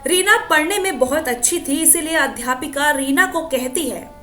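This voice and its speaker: A woman's voice: sits high, normal speed, a bit bright, soft background music. A woman reading a scripted moral story as its narrator.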